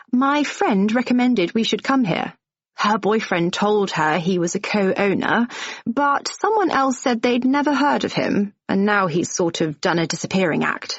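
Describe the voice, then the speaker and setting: A narrator puts on a bold middle-aged woman who barrels ahead with eager, rapid-fire talk. Her voice lifts higher than the narration. The sound is dry and a little dull. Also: no room sound, natural voice.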